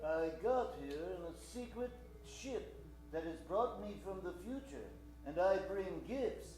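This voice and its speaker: An actor plays an eccentric inventor hero in an old-time radio comedy. The doctor declaims slowly and deliberately, with grand adventurous spirit. It is heard from a distance in an echoey space, with music behind. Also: mid-range voice, animated, a little mellow, lightly acted. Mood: grand, salesmanlike.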